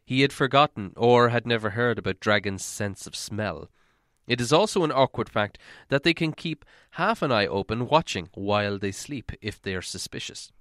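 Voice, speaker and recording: A man's voice: medium pitch, animated, moderate pace, a bit bright, no echo, clean studio sound. A man reading a storybook aloud as a dramatic, scripted narration. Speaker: audiobook narrator.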